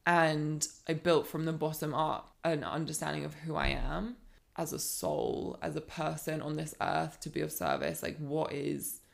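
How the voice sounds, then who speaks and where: Low gravelly woman. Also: measured pace. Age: 30s. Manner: earnest, reflective, animated when building a point. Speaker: podcast guest, life coach. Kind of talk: candid personal storytelling.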